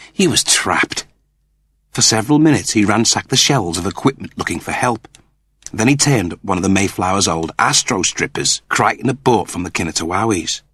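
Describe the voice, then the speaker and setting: A man with a fairly low, husky voice narrates a piece of fiction. His delivery is theatrical and animated, shaped like a dramatic audiobook reading. The sound is very dry, with no room around the voice. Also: dry recording.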